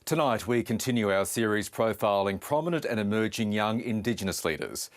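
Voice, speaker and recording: A man presenting the news, introducing a report in a formal, clear delivery. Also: fairly low pitch, animated, a little fast, faint music behind.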